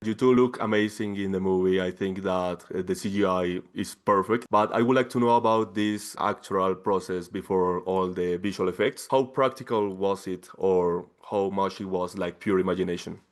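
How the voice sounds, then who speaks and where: A male interviewer from Colombia asks questions in English. His voice sits a little low, and he phrases things eagerly and effusively. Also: fairly even intonation, moderate pace, few pauses. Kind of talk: press junket interview.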